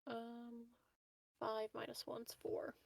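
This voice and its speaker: A woman's voice: a little high, monotone, takes it slowly, creaky, dry recording, low-quality recording. A woman playing a tabletop game, chatting casually in a playful, salty-tongued way. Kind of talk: casual chat.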